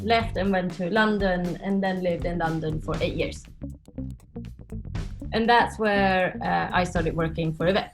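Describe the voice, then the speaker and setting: A Swedish-raised woman in her forties, a podcast guest chatting casually about her life and laughing often as she tells stories. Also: lowish voice. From Sweden. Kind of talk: casual interview chat.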